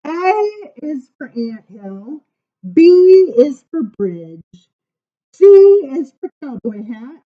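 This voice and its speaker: A woman reading children's poems aloud at story time, informal, with dramatic emphasis and drawn-out words. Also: sits high, very animated, highs cut off. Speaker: library story time reader.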